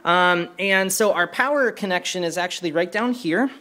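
A man presenting equipment in an informal hands-on walkthrough, speaking hesitantly and pausing mid-sentence. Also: high voice, sharp tone. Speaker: presenter demonstrating church sound equipment. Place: carpeted indoor room. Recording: clear background noise, handheld camera mic.

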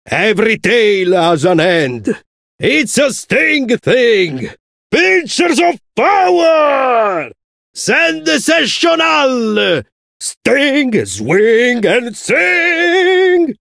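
A man playing a manic, gloating scorpion showman villain in a video game, with a gravelly voice, an Italian accent and a theatrical, drawn-out delivery. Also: very high-pitched, sing-song, fairly bright tone, no room sound, raised voice, studio quality, full character voice. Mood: sinister, gloating, then menacing, exuberant. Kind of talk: video game character voice lines.

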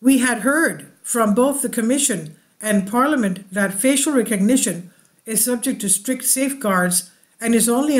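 Woman, channel host, reading translated news, slow and deliberate, asides to viewers, home voice-over. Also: mid-range voice.

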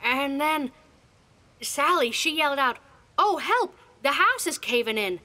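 A woman's voice: high-pitched, sing-song, a little slow, pushed and bright, full character voice. A woman voicing a terrified rural villager, stammering and breathless with panic in a horror audio drama. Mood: shaken.

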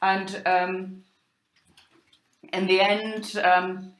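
A woman university lecturer talking over slides, hesitant, with many fillers and pauses. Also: medium pitch, flat delivery, fairly bright tone. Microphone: recorded voice-over for slides. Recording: roomy echo.

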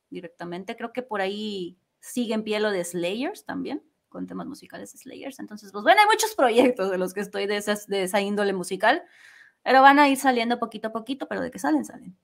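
A woman's voice: mid-range voice, lively intonation, moderate pace, creaky. Chatty woman in casual interview. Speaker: interviewed fandub singer and voice actress. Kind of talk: casual live interview chat.

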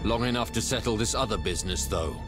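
An actor playing a gruff, battle-hardened space navy commodore in a video game, blunt and dramatic. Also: male voice, on the low side, quick pace, over music, natural voice. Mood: grim, blunt.

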